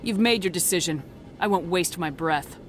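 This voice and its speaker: A woman's voice: fairly low pitch, moderate pace, natural voice. A woman playing a determined military commander in a video game, speaking in a firm, direct, clipped way. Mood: defiant, resolute. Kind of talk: video game cutscene acting. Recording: music behind game dialogue.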